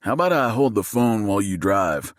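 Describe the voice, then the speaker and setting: A man's voice: fairly low pitch, big pitch swings, brisk, no echo. A man voicing a wry, derisive male passenger making dry remarks, in an audiobook.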